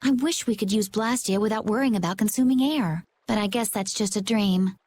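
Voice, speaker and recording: A woman playing a poised, calm, gently diplomatic young woman in a video game. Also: medium pitch, fairly quick, natural voice. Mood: composed. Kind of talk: video game dialogue, composed. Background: background music.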